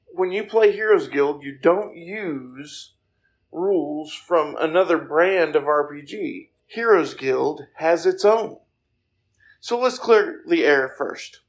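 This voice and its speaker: A man's voice: on the high side, creaky. A man hosting a podcast, introducing a guest and setting up interview questions. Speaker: podcast host.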